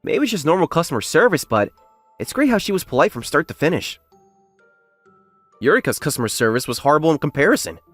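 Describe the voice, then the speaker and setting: A man's voice: on the high side, big pitch swings, fast, fairly bright tone, dry recording, soft background music. A man playing a modest, plain-spoken young factory worker who narrates his own story in a manga dub. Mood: musing. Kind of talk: English manga dub acting and first-person narration.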